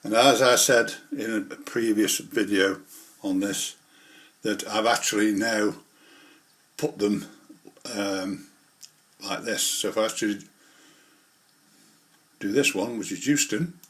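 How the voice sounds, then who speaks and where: A man's voice: fairly low pitch, takes it slowly, long pauses, fairly bright tone. A man presenting an informal hobby tutorial, explaining things step by step in a rambling, hands-on way. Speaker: model railway hobbyist presenter.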